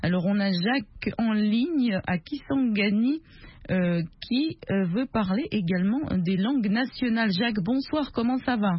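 A woman hosts a radio call-in show, her voice slightly husky. She moderates the callers and reads out listener comments in an even, practiced way. The broadcast sound is a little dull and lo-fi. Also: medium pitch, a little slow, dry recording. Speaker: radio host. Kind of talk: radio phone-in hosting.

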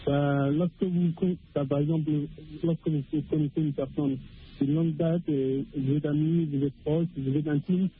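A man's voice: fairly high pitch, steady tone, slight background noise. Man speaking over a tinny phone line. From Africa. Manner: halting, many restarts and fillers. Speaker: radio caller. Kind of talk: phone-in radio discussion.

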